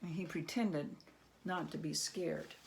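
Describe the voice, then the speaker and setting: A woman storyteller reading a storybook aloud expressively, with dramatic, suspenseful pauses. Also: sits low, steady tone, gravelly, low-quality recording.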